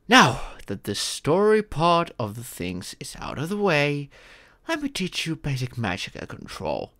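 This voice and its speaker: A man playing a fatherly dragon mentor in an ASMR fantasy roleplay, patiently telling stories and teaching magic in a gentle, measured, instructive way. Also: mid-range voice, vocal fry, heavily acted. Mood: gentle instructing. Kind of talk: ASMR audio roleplay, scripted fantasy storytelling.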